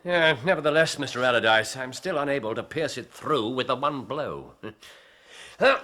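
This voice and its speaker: An actor playing a playful Victorian detective in a radio drama, jovial and wry with hesitant fillers. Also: male voice, medium pitch, lively intonation, moderate pace, bright, forceful tone.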